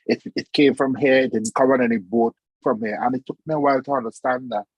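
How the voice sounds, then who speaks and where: A man's voice: mid-range voice, steady tone, quick pace, slightly rough, dry recording, low-quality recording. A man on a late-night video call panel, speaking earnestly and reflectively, a little sleepy. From Saint Vincent. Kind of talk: online panel discussion.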